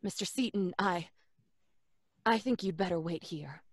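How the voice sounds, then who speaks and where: An actress playing a grave, guarded doctor in an old-time radio drama, measured and hesitant. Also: female voice, lowish voice, no echo, studio quality. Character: grave, guarded woman doctor bearing grim news. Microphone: large studio condenser microphone.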